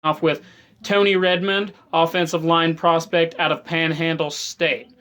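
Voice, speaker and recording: A man's voice: a little high, flat delivery, unhurried, a bit bright. A man hosting a sports interview show, speaking in an upbeat, enthusiastic way. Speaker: host.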